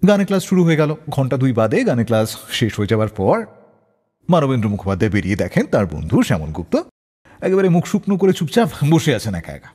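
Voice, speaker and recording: A male radio host tells stories in a dramatic style. His pitch swings very widely as he acts out dialogue, and his voice is rather husky. It sits in a long reverb. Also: fast talker. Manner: animated, acts out quoted dialogue. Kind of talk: dramatic radio storytelling between songs.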